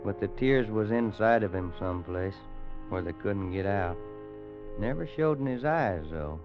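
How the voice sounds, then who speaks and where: An actor playing a laconic, kindly cowboy who narrates and talks in folksy American western speech, in old-time radio style. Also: male voice, on the low side. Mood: grave, reflective. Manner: folksy, hesitant drawling cowboy talk. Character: laconic grown cowboy narrating, gentle with an orphaned boy. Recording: muffled, noisy old radio recording.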